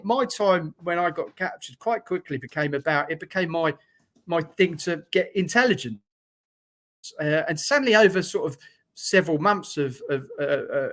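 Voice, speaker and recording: A man's voice: a little high, a bit bright. A middle-aged man with a somewhat raised voice speaks bluntly and frankly over a video call headset. He talks in a loose, conversational way, full of fillers and restarts, as he recounts things to the host. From Britain. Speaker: guest, former soldier. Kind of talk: conversational interview account.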